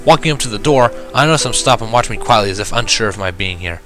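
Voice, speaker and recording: A man narrating a first-person story in an expressive, reflective and dramatic way. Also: on the low side, quick pace. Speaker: reader of fan fiction. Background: background music.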